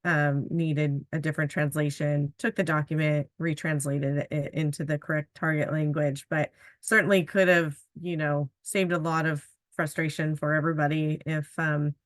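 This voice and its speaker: A woman moderates an online panel in a relaxed, conversational way, often pausing on "um." Her voice is very low for a woman. The sound is close and dry. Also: no echo. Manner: conversational, fills pauses with "um". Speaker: webinar moderator.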